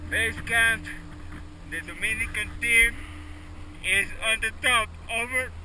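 Climber guy on a radio call, high voice, slow, far from mic, super noisy. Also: pushed and bright. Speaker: mountain climber calling base camp. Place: outdoors on a mountain summit. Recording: distant, very noisy outdoor expedition recording.

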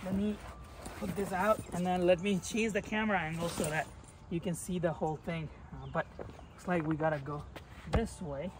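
A man's voice: high-pitched, fairly even intonation, moderate pace, noisy background. A man in his thirties casually reviewing a product outdoors, chatting while he demonstrates it by hand. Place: outdoors beside a car, moving around the trunk.

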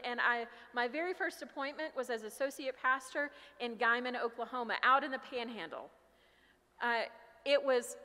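High-voiced American woman preaching in reverberant hall. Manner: emphatic, loud projected delivery. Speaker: pastor preaching. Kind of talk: sermon with personal storytelling. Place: large church sanctuary, at pulpit. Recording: reverberant large church hall.

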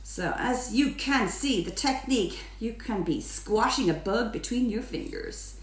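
Irish woman teaching baking, high voice, playful and a bit sing-song, echoey kitchen mic. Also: lively intonation, a little slow. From Ireland. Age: middle-aged. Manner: playful, theatrical, exuberant, sing-song at times. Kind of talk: chatty home cooking demonstration. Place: home kitchen, indoors.

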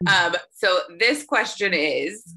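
A woman's voice: lowish voice, lively intonation, slow, vocal fry, pushed and bright. A young woman moderating a virtual press conference over a video call, reading out questions.

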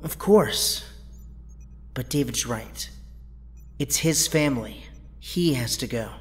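A man's voice: mid-range voice, expressive, slow. A man playing a brainy, earnest young hero in an audio drama, with precise speech. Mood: resolute. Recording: long hall reverb.

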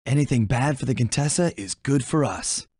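An actor playing a suave, wry young raccoon thief in a video game, casual and confident. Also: male voice, medium pitch, normal speed, darkish tone, breathy edge, no echo, clean studio sound, close to an everyday voice.

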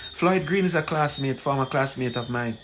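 A man in his forties with a Jamaican accent gives an emphatic, deliberate address to camera, repeating phrases for weight. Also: mid-range voice, fairly bright tone. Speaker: community leader. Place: small plain room indoors. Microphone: phone at arm's length. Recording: noisy room, ambient background sound.

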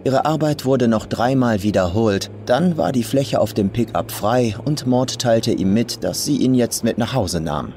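A man's voice: medium pitch, lively intonation, unhurried, hardly any pauses, a little mellow, slight background noise. A man reading a scripted horror story as an audiobook narrator. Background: faint atmospheric ambience.

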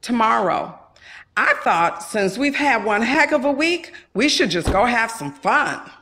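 Peacemaking, practical aunt, female actor, audio drama; slightly high, expressive pitch. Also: a little slow, a little hiss or hum, exaggerated voice. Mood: reassuring. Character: practical, placating aunt on a family outing.

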